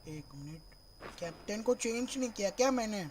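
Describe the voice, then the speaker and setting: A man from Mumbai streaming a cricket video game, slightly hoarse from a sore throat, thinking aloud and calling his viewers "bro" in nearly every phrase. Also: sits high, expressive, normal speed, a little mellow, a little hiss or hum. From Mumbai, India. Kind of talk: live gameplay stream chat.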